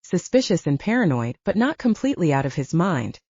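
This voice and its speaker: A woman narrating a first-person audiobook in a lively storytelling manner, lightly voicing the dialogue. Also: lowish voice, a little fast, husky, no room sound, muffled recording.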